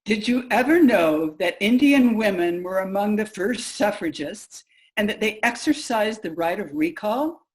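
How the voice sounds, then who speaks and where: A woman's voice: medium pitch, lively intonation, measured pace, natural voice, poor audio. Woman acting a pointed, emphatic suffragist lawyer. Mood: pointed, insistent. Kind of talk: reader's theater monologue.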